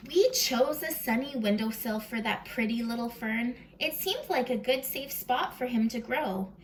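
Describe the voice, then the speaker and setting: A woman hosting a read-aloud for young children, expressive and full of questions, sometimes dropping into a whisper, recorded at home. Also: a little high, moderate pace, low-quality recording. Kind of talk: animated children's storybook read-aloud with chat to the listeners.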